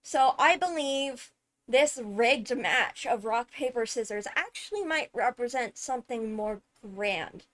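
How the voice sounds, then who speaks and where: A woman giving casual, analytical commentary on a video, animated and emphatic on key words. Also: on the high side, a little slow. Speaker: video host, game lore commentator. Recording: background music behind the voice. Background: music.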